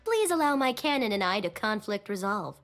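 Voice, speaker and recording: A woman's voice: mid-range voice, big pitch swings, fairly quick, a bit bright. A woman voicing a small, feisty, cheerful female gunner creature in a video game, firing off cocky, punchy battle quips. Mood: cocky, playful. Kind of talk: video game character voice lines.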